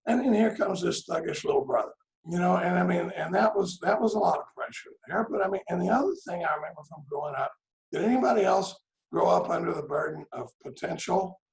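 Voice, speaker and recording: A man's voice: high voice, lively intonation, fast talker, vocal fry, roomy sound, low-quality recording. A man, sharing his story at a recovery meeting in a rambling, joking way. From Dallas, Texas. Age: 60s. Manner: joking, rambling, frequent "I mean" and "you know". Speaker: AA speaker. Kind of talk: storytelling speaker-meeting share. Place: home room on a video call.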